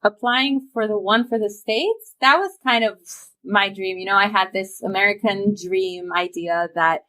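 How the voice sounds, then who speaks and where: A woman's voice: mid-range voice, a little slow, bright, forceful tone. A young woman from Romania, a podcast guest talking eagerly and chattily over a remote call, laughing easily. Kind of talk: casual interview chat.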